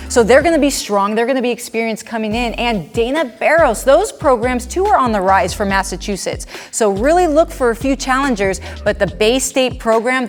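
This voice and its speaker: Female sports commentator, slightly high voice, upbeat preview read, light music under her. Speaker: basketball commentator.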